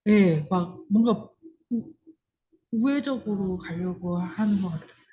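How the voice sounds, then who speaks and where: Dull online-call sound, woman, medium pitch, seminar participant, tentative, informal reflection. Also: unhurried, dark tone. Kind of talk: informal spoken reflection in a discussion.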